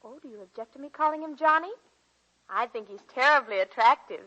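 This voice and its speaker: A woman's voice: high-pitched, expressive, brisk, sharp tone, full character voice. An actress playing a pert, teasing young college girl with a breezy, mischievous manner in a 1940s radio drama. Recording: muffled vintage 1940s radio broadcast.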